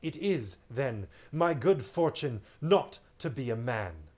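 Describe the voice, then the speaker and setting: A man's voice: mid-range voice, very animated, slow, darkish tone. A man voicing a small, proud talking mouse knight who speaks in a stiff, indignant, formal way. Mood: stiffly proud. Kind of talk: storybook reading.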